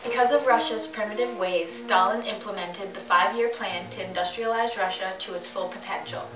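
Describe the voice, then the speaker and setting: A woman's voice: mid-range voice, moderate pace, natural voice. A woman playing an earnest, theatrical TV news magazine anchor, reading scripted narration and questions in a formal announcer manner. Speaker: mock news host and interviewer. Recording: echoey room, distant mic, loud music.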